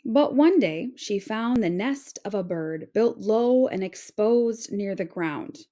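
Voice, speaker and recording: A woman reading a children's picture book aloud in an expressive, carefully phrased storytelling way. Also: medium pitch, takes it slowly.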